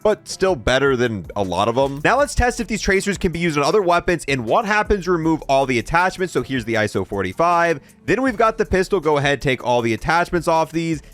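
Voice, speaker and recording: A man's voice: on the high side, sing-song, fairly quick, few pauses, a bit bright, faint music behind. A man hosting a casual video game review, announcing each item and thinking aloud as he tests it. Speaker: gaming video host.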